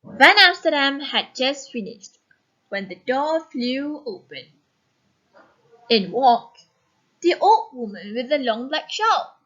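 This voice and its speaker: A woman teacher reading a story expressively and giving lesson instructions with clear, instructive phrasing. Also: high voice, very animated, takes it slowly, pauses often, bright, forceful tone, highs cut off.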